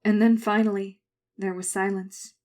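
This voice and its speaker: A woman's voice: medium pitch, level tone, normal speed, a little mellow, a little hiss or hum, lightly acted. A woman playing a haunted adult survivor, narrating a scripted horror drama in a grave, suspenseful way. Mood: somber. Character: haunted grown woman narrating her frightening schooldays. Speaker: narrator and main character.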